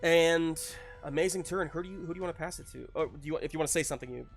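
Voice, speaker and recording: A man's voice: a little high, rapid-fire, gravelly, soft background music. A man running a tabletop roleplaying game, narrating casually and checking in with his players in an encouraging way.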